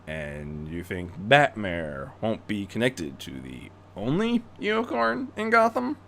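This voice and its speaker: A performer voicing a stern older man, a suspicious retired crime-fighter father, in a terse, measured, interrogating manner. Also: deep voice, sing-song, creaky, a bit bright, slight background noise, heavily acted. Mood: skeptical. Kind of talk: acted fan fiction reading.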